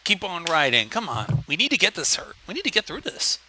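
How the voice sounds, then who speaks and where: A man's voice: sits high, huge pitch swings, fairly quick, pushed and bright, slight background noise. A man co-hosting a livestream, making blunt, casual, sweary comments on a story. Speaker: livestream co-host.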